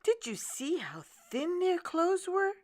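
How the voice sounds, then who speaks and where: A woman's voice: extremely high pitch, big pitch swings, slow pace, darkish tone, voice pushed up, full character voice. A woman voicing a kindly, concerned shoemaker's wife in a children's storybook, speaking tenderly and expressively. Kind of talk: children's storybook read-aloud.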